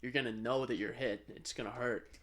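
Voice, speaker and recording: An American man with a medium-pitched voice talks as a podcast co-host. His delivery is casual and conversational, like chat between friends. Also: fairly even intonation, fairly quick, a bit bright.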